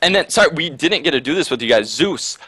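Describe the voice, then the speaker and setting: A man's voice: on the high side, lively intonation, fast talker, pushed and bright, husky, low-quality recording. A man co-hosting a game broadcast, upbeat and prone to laughing while chatting and giving color commentary. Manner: upbeat, laughs often, keeps saying "absolutely". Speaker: esports co-caster and interviewer. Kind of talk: casual chat and color commentary.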